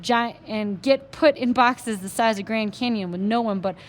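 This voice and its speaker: A woman voicing a tiny, bitter, hard-drinking cowboy man with a Texas accent and a loud drawl. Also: a little high, normal speed, a little hiss or hum, lightly acted. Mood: angry.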